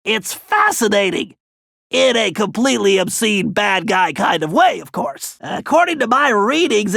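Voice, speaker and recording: High-pitched creaky nerdy cartoon turtle. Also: male voice, pushed and bright, no room sound, clean studio sound, full character voice. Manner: precise, bookish, earnest mission briefing. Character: nerdy, brainy, anxious young male cartoon turtle gadget expert. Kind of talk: animated video game voice acting.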